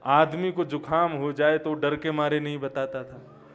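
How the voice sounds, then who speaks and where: High-voiced Hindi preacher, emphatic storytelling. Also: male voice, fairly even intonation, a little slow, smooth. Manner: storytelling, swings from quiet to loud emphatic exclamations. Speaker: Bhagavat katha preacher. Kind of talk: devotional sermon with chanted Sanskrit verses.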